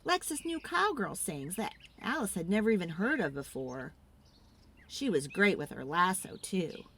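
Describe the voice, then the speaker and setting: A woman narrating a children's storybook in an expressive, theatrical read-aloud style. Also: on the low side, normal speed, a little hiss or hum.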